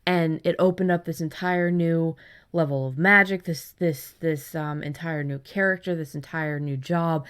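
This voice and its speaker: Casting: woman podcast co-host, voice a little lower than most, loose conversational delivery with halting phrasing and occasional swearing. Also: steady tone, fairly bright tone, clear, resonant tone. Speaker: podcast co-host discussing writing. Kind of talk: casual chat.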